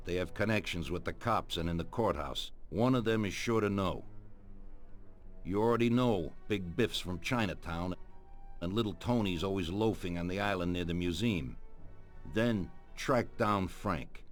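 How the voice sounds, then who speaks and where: Music plays under a very low male voice: an actor as an ageing American mob boss from a crime game. He is grave and coldly ruthless, giving out orders with deliberate authority. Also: lightly acted. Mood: grave, commanding. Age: older. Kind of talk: dramatic video game voice acting. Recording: music behind the voice. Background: background music.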